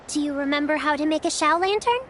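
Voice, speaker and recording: A woman voicing a kind, polite little girl in an anime-style video game, childlike and gentle in manner. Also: high-pitched, quick pace, a little hiss or hum. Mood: gently coaxing. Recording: music behind the voice. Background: music.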